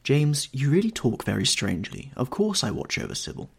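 A faded actress mother from a storybook, acted out by a man, vain and complaining. The voice is husky and lifted in pitch, with genteel, stagey airs and a stately manner. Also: wildly swooping pitch, brisk, exaggerated voice. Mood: complacent, genteel. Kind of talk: audiobook character dialogue.

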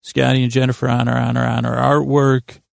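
A man hosting a bedtime podcast with a rambling, halting, self-interrupting improvised monologue, goofy and self-deprecating. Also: mid-range voice, completely flat delivery, creaky, no room sound, studio quality. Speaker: podcast host.

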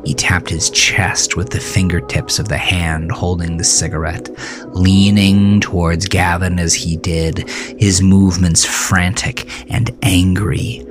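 Male narrator for scripted horror audio fiction: very low register, measured delivery, over a music bed. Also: little pitch movement.

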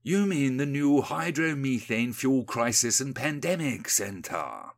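A man's voice: mid-range voice, expressive, slow, gravelly, voice pushed up, heavily acted. A man voicing a creepy, condescending upper-class politician and undertaker with a pompous drawl in a satirical storybook parody. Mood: smug condescension.

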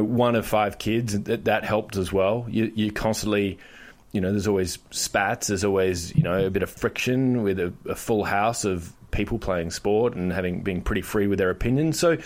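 A man's voice: lowish voice, a little mellow. A man in his forties with an Australian accent, telling stories about his upbringing as a podcast guest, in a chatty, joking way. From Australia (Perth). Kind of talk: casual interview chat.

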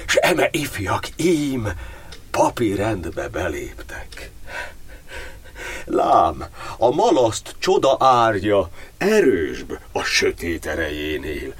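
A very deep, solemn imprisoned cardinal. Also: male voice, breathy edge, heavily acted. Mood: fervent. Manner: measured, poetic, declamatory delivery. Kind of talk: verse monodrama, theatrical radio-play declamation.

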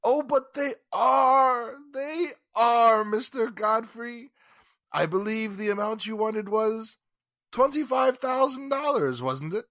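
A man's voice: extremely high pitch, slow pace, a bit bright, no echo, clean studio sound, full character voice. An actor playing a haughty, sarcastic, indignant businessman in 1940s radio drama style. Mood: smug, needling. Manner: pompous, formal, sarcastic. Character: haughty, indignant wealthy businessman under police questioning.

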